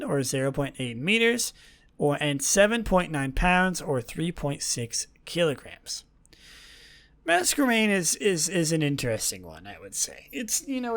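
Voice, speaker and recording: A young man hosting a podcast, his voice worn and hoarse from talking all day, rambling casually and correcting himself often with dry sarcasm. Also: on the high side, fairly bright tone. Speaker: podcast host. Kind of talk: casual solo podcast talk.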